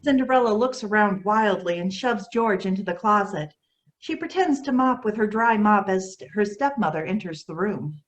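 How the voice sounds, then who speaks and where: A woman's voice: mid-range voice, animated, normal speed, rough recording. A woman narrating, reading out the stage directions of a children's play.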